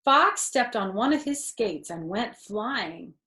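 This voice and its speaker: A woman's voice: mid-range voice, expressive, slow, sharp tone. An older woman reading a children's storybook aloud expressively, with explanatory asides.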